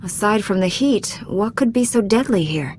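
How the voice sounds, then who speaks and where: An actress playing a dutiful Jedi Knight in a video game, speaking in a measured, serious, authoritative way. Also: female voice, mid-range voice, animated, a little mellow, a little hiss or hum, natural voice. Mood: composed, direct. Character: dutiful female Jedi Knight, earnest and composed. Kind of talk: video game cutscene acting.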